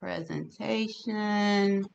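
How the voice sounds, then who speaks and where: A woman's voice: medium pitch, fairly even intonation, drawn-out words, vocal fry, dull audio, a little hiss or hum. A woman hosting an online conference call, presenting informally to a group in an encouraging, instructive manner with emphatic repetition. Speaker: conference organizer and host.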